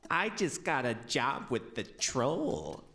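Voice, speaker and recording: Casting: female actor as headstrong, cheeky young man for radio folktale, unhurried, extremely expressive pitch. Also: soft background music, exaggerated voice. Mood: smitten, breezy. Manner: polite but cocky. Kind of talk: audio drama folktale acting.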